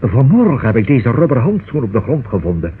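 A man's voice: medium pitch, very animated, fairly quick, a little hiss or hum, close to an everyday voice. A man acting a fussy, nervous, forgetful solicitor in a radio play, with hesitant, exclamatory speech. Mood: uneasy. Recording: muffled, band-limited old radio recording.

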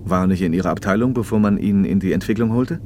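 An actor playing a paternal, probing factory manager in a radio play, speaking in a measured, slightly didactic way. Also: male voice, low voice, darkish tone, a little hiss or hum, close to an everyday voice. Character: socialist factory manager, paternal, probing, talkative male official. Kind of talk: naturalistic German radio play dialogue.

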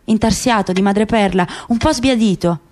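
A woman's voice: mid-range voice, moderate pace, darkish tone. A woman gives a dramatic literary reading as narrator in a radio play. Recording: clear background noise with clicks.

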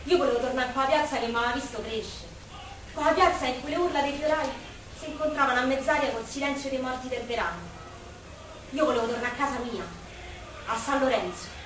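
An actress as a childlike, unhinged young woman from the Roman streets, in a high voice and a theatrical dialect. She sounds like a stage drama heard from far off in a very echoey space. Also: fast talker, noisy background, close to an everyday voice. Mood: nostalgic. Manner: theatrical, childlike, breaking into song. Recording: distant, echoey stage recording with music.

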